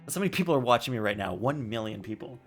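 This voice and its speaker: A man's voice: mid-range voice, expressive, rapid-fire. A man streaming a game, chatting casually and musing aloud in a rambling way. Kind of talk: casual live-stream chat and commentary.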